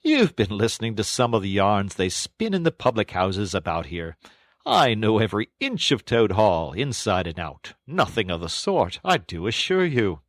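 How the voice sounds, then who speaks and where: A man voicing a boastful, excitable young male toad in a storybook reading, in a high, showy voice. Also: normal speed, dry recording, studio quality. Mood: airy dismissive. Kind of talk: audiobook character voice.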